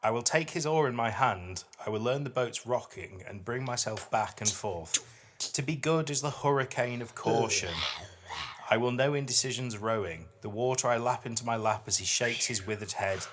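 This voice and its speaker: A man's voice: medium pitch, moderate pace, fairly bright tone, a little hiss or hum. A middle-aged man hosting a podcast, moving between casual, reflective chat and reading poetry aloud. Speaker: podcast host.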